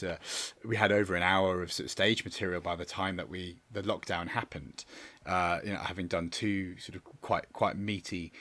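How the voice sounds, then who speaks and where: A man's voice: low-pitched, a bit bright. A man giving a reflective interview answer as a theatre director, rambling and often restarting his phrases. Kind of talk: reflective interview answer over video call. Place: remote online call.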